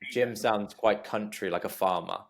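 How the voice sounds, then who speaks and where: Low-pitched Englishman, casual and hesitant. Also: normal speed, creaky. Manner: hesitant, repeats "like". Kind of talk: casual banter chat.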